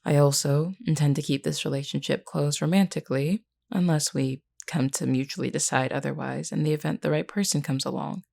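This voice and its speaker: Woman playing a low, creaky, flirty werewolf woman. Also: steady tone, darkish tone. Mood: frank, firm. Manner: unhurried, teasing yet earnest, deliberate. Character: flirty, frank, self-assured female werewolf of a pack. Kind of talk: intimate scripted audio roleplay addressed to the listener.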